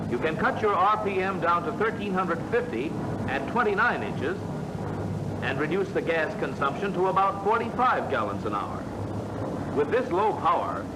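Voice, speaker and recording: A man with an American accent reads scripted instructions as the narrator of a vintage military training film, in a measured, authoritative manner. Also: fairly high pitch. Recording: noisy vintage film soundtrack, loud engine roar. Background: loud aircraft engine drone.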